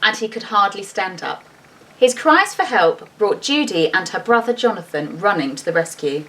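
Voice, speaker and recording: Animated woman reading a children's storybook. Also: mid-range voice, normal speed. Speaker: school teacher reading a storybook aloud. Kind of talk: expressive children's storybook reading with chatty asides. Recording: distant mic with faint background noise.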